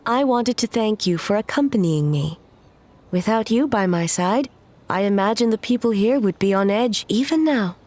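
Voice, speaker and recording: A woman voicing an ageless, reserved female ruler in a video game, speaking in a formal, measured, stately way. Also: medium pitch, lively intonation, darkish tone, a little hiss or hum, natural voice. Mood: reflective.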